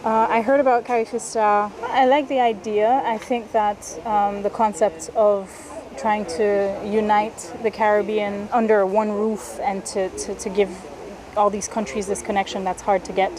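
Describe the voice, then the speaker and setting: A woman's voice: mid-range voice, flat delivery, unhurried, a crowd in the background. A Haitian woman answering interview questions thoughtfully, with a few restarts. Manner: thoughtful, some restarts, hopeful.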